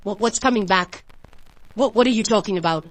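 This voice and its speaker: A woman's voice: mid-range voice, fairly quick, lightly acted, poor audio. Woman acting an anxious, protective young mother. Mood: wary, frightened. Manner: soothing, hesitant, shaken. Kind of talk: radio drama horror acting.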